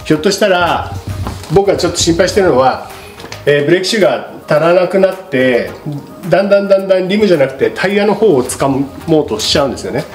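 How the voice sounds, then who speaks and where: A man's voice: high-pitched, expressive, fast. Middle-aged man explaining in a roomy space. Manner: polite, explanatory, unscripted. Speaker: bicycle shop presenter. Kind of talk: casual product explanation to camera. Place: small indoor studio with paper backdrop. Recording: roomy echo, music behind.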